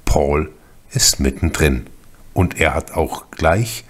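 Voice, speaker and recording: Slow, deep-voiced male narrator. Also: fairly bright tone. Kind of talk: scripted narration of a radio play.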